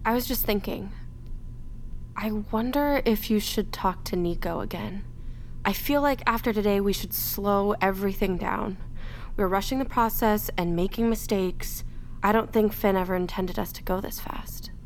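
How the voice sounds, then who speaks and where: A woman's voice: mid-range voice, normal speed, slight background noise, lightly acted. An actress playing an earnest, caring young woman in a naturalistic fiction podcast, with a conversational delivery. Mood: concerned.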